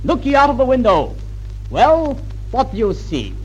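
An actor playing a sly, sardonic prince who taunts in a theatrical way in an old-time radio historical drama. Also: male voice, very high voice, wildly swooping pitch, normal speed, darkish tone, breathy edge, faint music behind, full character voice, poor audio. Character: sly, mocking prince, younger brother of the king. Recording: vintage 1940s-50s radio recording.

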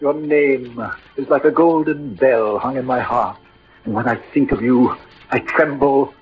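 An actor playing an eloquent, lovelorn poet-soldier with ardent, lyrical delivery in old radio drama style. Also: male voice, medium pitch, steady tone, a little slow, slight background noise, lightly acted. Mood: rapturous, tender. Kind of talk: 1940s-50s radio drama acting. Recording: dull old radio recording.

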